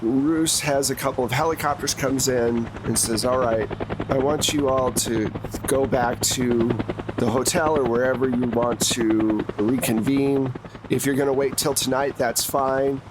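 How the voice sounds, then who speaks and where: Guy game mastering a tabletop rpg, casual narration, mid pitch voice, slight background noise. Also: steady tone, a little slow.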